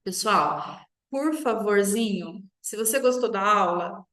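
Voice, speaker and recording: A woman's voice: mid-range voice, slow, a bit bright. Brazilian woman teaching in an echoey room. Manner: instructive, often checks in with short tag questions. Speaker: English teacher. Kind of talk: online language lesson to viewers. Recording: roomy, echoing sound.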